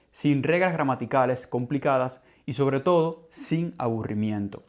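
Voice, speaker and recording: A man with a Cuban Spanish accent hosts a podcast for Spanish learners. He reads a script calmly and clearly at a measured pace, and his voice sits at an everyday middle pitch. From Cuba. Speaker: language teacher and podcast host.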